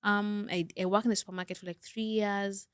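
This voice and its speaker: A woman's voice: mid-range voice, a little fast, clean tone, dry recording. Chatty Kenyan-born woman on a dry mic. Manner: chatty and animated, telling stories with faith remarks. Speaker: podcast co-host. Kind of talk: casual podcast chat.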